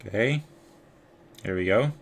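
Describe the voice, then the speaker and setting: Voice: sits low, expressive, a little slow, vocal fry, a little hiss or hum. A game streamer giving casual live commentary, muttering short reactions to the screen.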